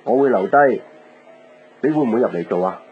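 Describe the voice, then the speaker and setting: An actor playing a modest, devoted young man, a quietly hopeful suitor in a radio drama. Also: fairly low pitch, lively intonation, a little fast, lightly acted. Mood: tender, hopeful. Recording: narrow tinny radio recording, faint guitar music.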